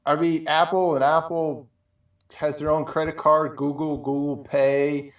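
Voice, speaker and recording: A man's voice: fairly high pitch, little pitch movement, a little slow. Echoey, distant man chatting casually. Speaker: show co-host. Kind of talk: casual chat. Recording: roomy, distant, dull microphone.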